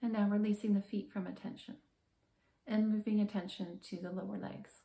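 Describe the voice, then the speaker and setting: A woman guiding a body scan meditation in a calm, gentle, measured manner with slow, soothing pauses. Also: mid-range voice, gravelly, reverberant. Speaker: meditation guide, therapist.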